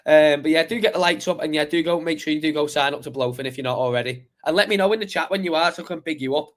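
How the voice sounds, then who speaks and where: A man hosting a crypto livestream with a slightly croaky voice, talking through charts in a chatty, rambling way with many fillers and restarts. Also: a little high, racing, a bit bright. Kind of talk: casual live chart analysis.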